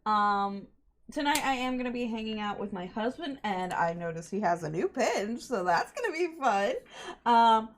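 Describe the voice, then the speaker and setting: A woman's voice: on the high side, very animated, normal speed. A woman hosting a livestream, chatting casually to her viewers in an apologetic, giggly manner. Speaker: livestream host. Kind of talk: casual stream chat to viewers.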